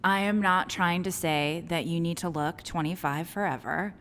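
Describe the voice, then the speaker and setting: Late 30s woman, casual candid guest chat, slightly low voice, reverby room. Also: normal speed, a bit bright. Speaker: esthetician, interview guest. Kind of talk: casual conversational explaining. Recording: reverberant room with faint noise.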